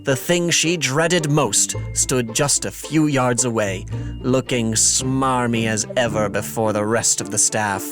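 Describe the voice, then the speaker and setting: A man's voice: mid-range voice, takes it slowly. Wry male audio drama narrator over music. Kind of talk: scripted storytelling narration for fantasy audio drama.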